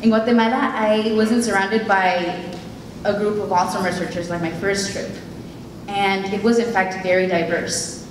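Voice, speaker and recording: A young woman whose first language is Spanish delivers an earnest, heartfelt keynote from her notes. Her voice sits at an ordinary pitch and carries through a very echoey hall, picked up from a distance. A steady background hiss runs under it. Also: moderate pace. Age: late teens. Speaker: keynote speaker. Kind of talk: prepared speech. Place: event hall.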